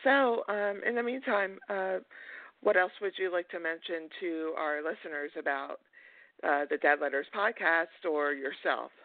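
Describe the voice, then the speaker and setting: A man with a slightly high voice hosts a podcast interview in a casual, conversational way. The sound is thin and phone-like, with very little room around it. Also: a little slow, dry recording. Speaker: podcast host interviewing a guest. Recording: muffled phone-like line, dry.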